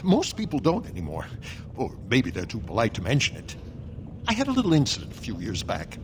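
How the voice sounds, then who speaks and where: A man's voice: medium pitch, huge pitch swings, a little fast, background noise. An actor playing a nervous, addled male dwarf blacksmith in a video game, stammering and scattered but proud of his craft. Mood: sheepish, jittery. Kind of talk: video game character acting.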